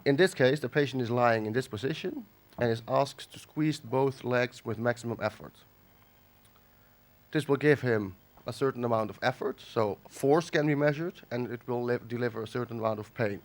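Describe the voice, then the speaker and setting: A man from the Netherlands with a cold, giving a halting academic conference lecture with many fillers and restarts. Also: mid-range voice. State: has a cold. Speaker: conference speaker. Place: conference hall.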